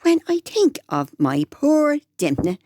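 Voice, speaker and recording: An actress playing a blunt, foul-mouthed, scheming Irish wife with a Dublin accent in a comedy radio drama. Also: female voice, high-pitched, big pitch swings, slow pace, dark tone, no echo, studio quality, full character voice. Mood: indignant, pushy.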